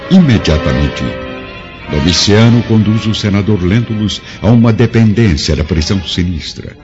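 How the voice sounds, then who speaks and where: A man's voice: fairly low pitch, expressive, moderate pace. A man narrating a radio drama in a solemn, grandiloquent, dramatic scripted style. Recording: dull lo-fi radio drama with music.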